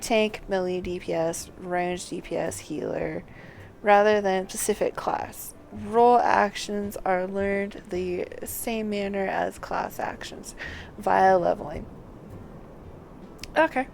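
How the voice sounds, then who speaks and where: A woman's voice: medium pitch, steady tone, slow pace, creaky, a little mellow, a little hiss or hum. A woman game streamer talking through a walkthrough, reading on-screen text aloud and muttering to herself in between.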